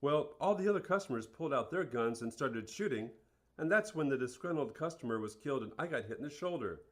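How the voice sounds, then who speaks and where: A man's voice: mid-range voice, lively intonation, quick pace, lightly acted. Actor doing an earnest burger joint manager guy in a radio play interview, plain-spoken, matter of fact. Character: earnest, matter-of-fact male fast-food store manager.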